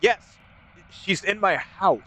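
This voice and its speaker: A man playing a frightened homeowner phoning the police, speaking hesitantly and haltingly in an acted emergency call. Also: high-pitched, bright, forceful tone, full character voice. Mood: fearful, uneasy.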